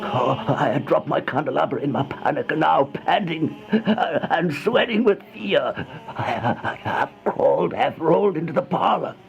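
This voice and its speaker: An actor playing a terrified, feverish man in an old-time radio horror monologue, stammering, gasping and melodramatic, with a creaky voice. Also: on the high side, animated, normal speed. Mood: revolted, panicked, then hushed dread. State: panting, out of breath. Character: terrified, feverish man trapped with monstrous flies, horror narrator. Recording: dull, noisy old radio recording.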